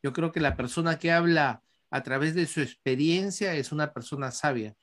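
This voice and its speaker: A courteous man hosting a podcast panel over a video call. His voice sits a little high for a man, and he thanks each guest before moving the talk forward. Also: moderate pace, fairly bright tone. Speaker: podcast host.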